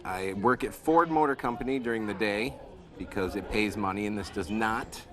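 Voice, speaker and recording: A man's voice: lowish voice, moderate pace, a bit bright, faint music behind. A man, an amateur comedian, speaking plainly and matter-of-factly in an interview. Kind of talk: casual interview.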